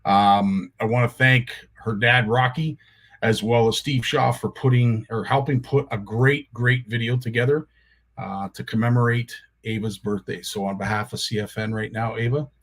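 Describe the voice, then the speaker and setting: A man hosting a casual live stream, his voice raspy and strained, greeting viewers one by one. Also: mid-range voice, fairly even intonation, normal speed. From Canada. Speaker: live show host. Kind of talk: casual livestream chat.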